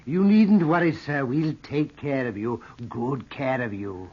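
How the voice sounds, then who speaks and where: An actor playing a deferential, slyly attentive butler in an old-time radio drama. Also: male voice, medium pitch, big pitch swings, a little slow, breathy edge. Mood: solicitous. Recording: dull vintage radio recording.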